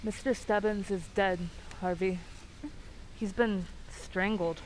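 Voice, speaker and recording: A steady, folksy sheriff, played by a woman speaks at a middle pitch. The delivery is calm radio drama acting over faint noise. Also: slow, close to an everyday voice, low-quality recording. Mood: grave. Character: steady, reassuring small-town sheriff.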